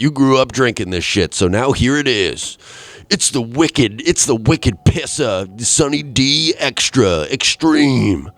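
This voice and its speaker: A man in his twenties hosting a podcast, ranting in a rambling, profane and deadpan way. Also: medium pitch, no room sound. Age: 20s. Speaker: podcast host. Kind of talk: solo comedic rant.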